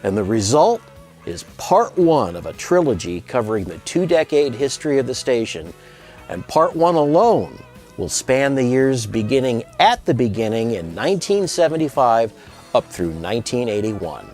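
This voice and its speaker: A man's voice: mid-range voice, very animated, normal speed, a little mellow. A man presenting a scripted promotional narration as the person behind a music archive project. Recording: music bed under voice.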